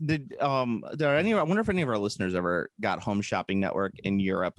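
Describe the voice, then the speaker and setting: A man's voice: lowish voice, fast, no echo, studio quality. A man co-hosting a podcast, chatting casually in a rambling, hesitant way with lots of fillers and jokes. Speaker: podcast co-host. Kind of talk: casual chat. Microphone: podcast microphone.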